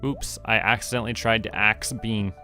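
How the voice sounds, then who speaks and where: A man chats casually through a game stream, thinking aloud in short remarks. His voice sits a little low but comes across bright and forward, with music playing behind him. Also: steady tone, moderate pace. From Canada. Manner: musing to himself in short remarks. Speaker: VTuber streamer. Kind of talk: casual livestream gaming commentary. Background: background music.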